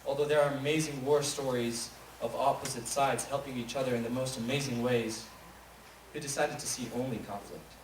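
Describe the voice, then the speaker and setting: A man's voice: medium pitch, fairly even intonation, fairly quick. A young man from Eastern Europe giving a wry, pointed spoken-word monologue on a school stage. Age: young adult. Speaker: student speaker. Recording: echoey hall recorded from far off, noisy.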